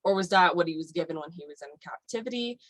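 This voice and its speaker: A woman's voice: fairly low pitch, expressive, fast, fairly bright tone, dry recording. A woman guest on a podcast, explaining at length in casual chat with many fillers like "um" and "like". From United States.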